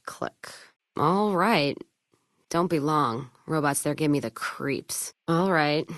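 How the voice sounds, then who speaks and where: Low female voice, hard-drinking wasteland caravaner, blunt, sardonic, folksy, game dialogue, close dry recording. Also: no room sound. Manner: blunt, wry, folksy drawling phrasing. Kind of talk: video game voice acting.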